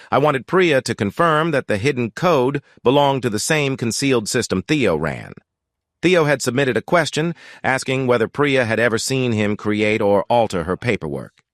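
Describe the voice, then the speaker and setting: Man narrating a story, expressive pitch. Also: dry recording, studio quality. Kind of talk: scripted first-person story narration.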